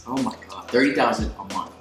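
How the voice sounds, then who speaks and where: Excitable young man hosting, echoey room. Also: lowish voice, fairly quick. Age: 20s. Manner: excitable, laughs often, lots of fillers. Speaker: host. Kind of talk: casual sit-down interview. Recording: echoey room with music behind.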